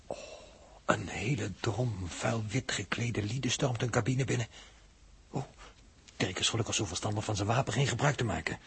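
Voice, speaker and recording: A man with a Netherlands Dutch accent playing a sober, sceptical captive spaceman in a 1960s radio drama, with a dry, measured delivery. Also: lowish voice, creaky, slightly rough, heavily acted. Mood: concerned. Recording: vintage 1960s radio recording.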